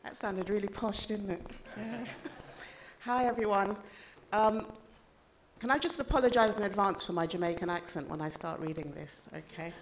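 A woman's voice: mid-range voice, normal speed, a little hiss or hum. A woman from Ghana, an author who chats informally and self-deprecatingly before reading aloud from her novel at a live literary event.

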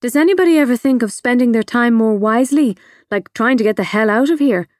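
A woman's voice: fairly high pitch, a little fast, a little mellow, no echo, close to an everyday voice. A woman playing a guarded, sardonic adult in an audiobook, terse and impatient with children. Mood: sardonic, awkward. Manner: dry, terse, sarcastic. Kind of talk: audiobook character dialogue.

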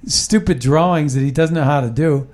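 A man's voice: a little high, normal speed, a little mellow. A man hosting a casual political talk show, rambling and emphatic, often restarting his sentences.

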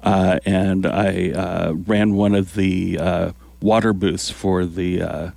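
A man hosting a community radio show from the studio, talking in a relaxed, rambling way with many fillers. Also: low-pitched, slow, gravelly, no room sound. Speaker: radio host. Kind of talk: casual community radio chat. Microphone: studio microphone.

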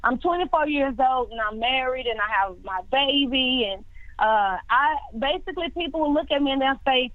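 A woman's voice: high voice, expressive, moderate pace, no room sound. A woman in her twenties, a singer, answering interview questions in a casual way, often repeating words and restarting. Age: 20s. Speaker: singer guest. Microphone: phone line. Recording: tinny dry phone line.

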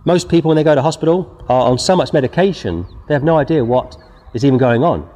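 A man preaching outdoors with a dark, mellow voice. He reads scripture and drives his warnings home with emphasis. His words trail off into a long, ringing echo. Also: on the high side, expressive, quick pace. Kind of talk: outdoor sermon. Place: outdoors before scenery.

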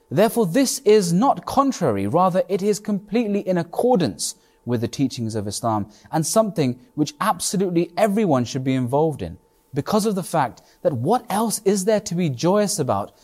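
An earnest man explains a religious point with steady conviction. His pitch rides high and moves around a lot as he stresses each point. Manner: earnest, emphatic preaching tone. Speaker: imam co-host.

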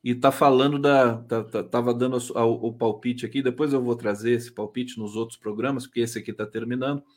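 Male live-show host, Brazilian accent, average pitch. Informal, playful, teasing, slightly halting when putting questions. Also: fairly even intonation, a little mellow. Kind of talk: live chat show hosting, casual.

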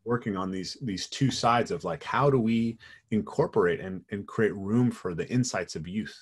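Man, late thirties, lowish husky voice, reflective, casual conversation. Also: moderate pace. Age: 30s. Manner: reflective, thoughtful, says "right?" often. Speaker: parkour practitioner.

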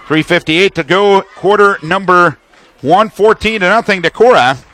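A male play-by-play announcer with a high-pitched, bright and forceful voice calls live football for radio. He lays out the situation and the action in clipped, detailed phrases. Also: normal speed.

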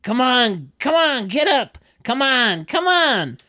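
A table reader voicing a loud, boastful older father from a sitcom, hearty and barking, in a comedic read. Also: high-pitched, very animated, slow pace, voice pushed up, heavily acted. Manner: hearty, barking, emphatic repetitions.

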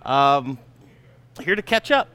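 A man's voice: mid-range voice, sing-song, slow, bright, forceful tone. A man in his fifties hosting a casual, chatty interview. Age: 50s. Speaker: interview host. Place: downtown Denver venue. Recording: clear background noise, ambience.

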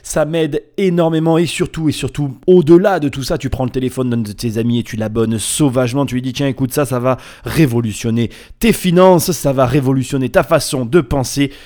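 A man with a mid-pitched voice hosts a podcast alone. He talks casually and playfully, with slangy phrasing and emphatic points. He tends to digress and then pull himself back to the subject. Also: brisk, hardly any pauses, a little mellow. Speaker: podcast host. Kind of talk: casual solo podcast monologue.

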